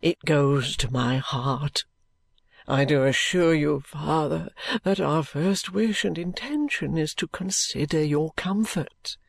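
A reader voicing a meek, dutiful young man in an audiobook, pleading earnestly and submissively in a hushed way. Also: mid-range voice, slow, vocal fry, no room sound, studio quality. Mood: imploring, earnest. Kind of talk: audiobook character voice.

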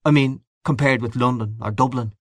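A man's voice: medium pitch, steady tone, normal speed, no room sound, clean studio sound, natural voice. A man voicing a timid, earnest, naive small Irish man in an audiobook, speaking with polite insistence. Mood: timid, curious. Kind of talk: literary audiobook character dialogue.

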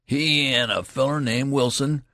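A man's voice: medium pitch, measured pace, no echo, clean studio sound, pitched above the performer's own voice. A man voicing an eager young soldier in an audiobook, bursting with news in rustic, repetitive talk. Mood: eager, excited. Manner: excitable, rustic ungrammatical talk, emphatic repetition. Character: eager young male soldier breathlessly telling big news.

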